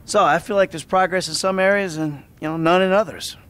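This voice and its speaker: A man's voice: sits high, a little fast, fairly bright tone, clear, resonant tone. An actor playing a bewildered, hot-tempered male therapy patient in a video game cutscene. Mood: puzzled.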